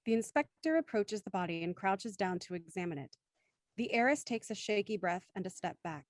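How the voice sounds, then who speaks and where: A woman's voice: medium pitch, expressive, brisk, no echo. A woman hosting a live audio show and reading scripted narration, addressing her listeners directly and in an organised way. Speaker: host and narrator.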